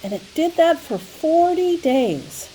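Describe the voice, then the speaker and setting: A woman storyteller with a mellow voice and widely swinging intonation speaks to young children. She takes her time, explaining simply and pausing for emphasis. There is some light background noise. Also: very high-pitched, big pitch swings, poor audio. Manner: simple explanatory phrasing, dramatic pauses and emphasis.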